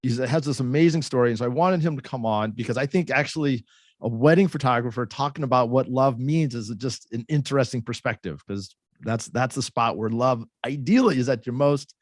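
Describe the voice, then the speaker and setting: A man's voice: mid-range voice, brisk, dry recording, clean studio sound. A man hosting a podcast interview, friendly and often stumbling over his words. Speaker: podcast host. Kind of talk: casual interview.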